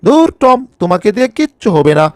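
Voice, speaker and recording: A man's voice: sits high, sing-song, fast talker, dry recording, studio quality. A man voicing an anxious, scolding bulldog in a cartoon dub, with an exclamatory, grumbling manner. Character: anxious, scolding male bulldog taking charge.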